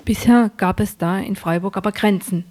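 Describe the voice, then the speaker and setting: A woman's voice: mid-range voice, moderate pace, darkish tone. A woman narrating a scripted news report as a radio reporter.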